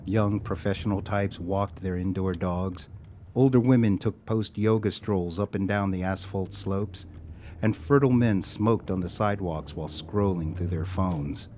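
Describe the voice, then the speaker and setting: An actor as the narrator of an audio drama, telling a scripted story in a wry, sardonic way. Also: male voice, low voice, a little slow, darkish tone, slight background noise. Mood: wry, measured.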